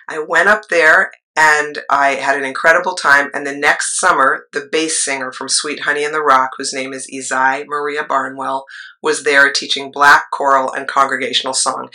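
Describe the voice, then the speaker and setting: A middle-aged woman with a low voice, a choir leader and actress, telling her story in a rambling interview style with asides. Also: little pitch movement, moderate pace, sharp tone, poor audio. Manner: rambling, with asides and trailing thoughts.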